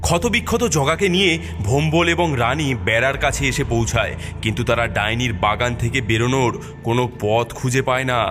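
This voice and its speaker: A man narrates a cartoon horror story in a dramatic, scripted style. His voice sits at an average male pitch, with very loud music playing behind him. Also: brisk.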